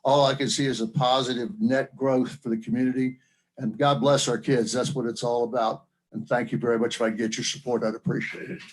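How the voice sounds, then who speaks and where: A man's voice: mid-range voice, little pitch movement, fairly quick, poor audio. A man giving a plain-spoken public comment at a local government meeting, earnest and folksy in manner. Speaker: member of the public seeking support at a county meeting. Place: county meeting room.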